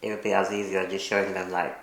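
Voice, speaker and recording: Deep low guy with a gravelly voice, laid-back chat, echoey room. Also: rapid-fire. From Kenya. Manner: relaxed, conversational, with many fillers. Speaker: co-host. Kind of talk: casual chat answering viewer questions.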